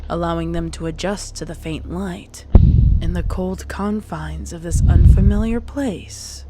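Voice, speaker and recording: A woman reading a dark story as dramatic scripted narration. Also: on the low side, unhurried, loud music behind the voice. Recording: clearly noisy background.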